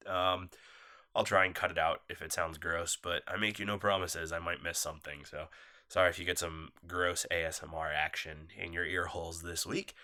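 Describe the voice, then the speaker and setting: A man's voice: sits low, steady tone, moderate pace, pushed and bright. A man hosting a casual solo podcast, nasal and coughing from a cold, rambling and apologetic. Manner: rambling, apologetic, loose and laid-back. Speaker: podcast host. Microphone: phone set down nearby.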